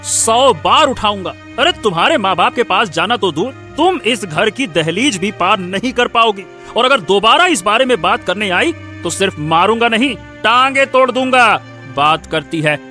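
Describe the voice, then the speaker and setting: A man's voice: high voice, expressive, moderate pace, pushed and bright, faint music behind. A man voicing a greedy, cruel village shopkeeper and husband in a cartoon story, scolding and threatening. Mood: threatening, furious. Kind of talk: Hindi moral-story cartoon dialogue.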